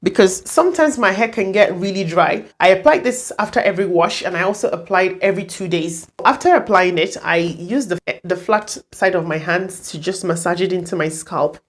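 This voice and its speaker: Low-pitched woman, chatty hair vlogger. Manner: chatty, explanatory, addressing viewers directly. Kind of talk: casual vlog update to camera.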